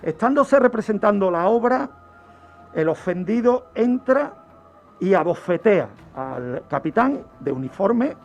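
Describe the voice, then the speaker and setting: A slow-speaking man narrates true crime as a radio criminologist. His voice sits high for a man, and he builds the account with pauses and questions to the listener. The recording is dull and lo-fi. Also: big pitch swings, soft background music. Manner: didactic, dramatic pauses, rhetorical questions. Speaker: criminologist, radio segment contributor.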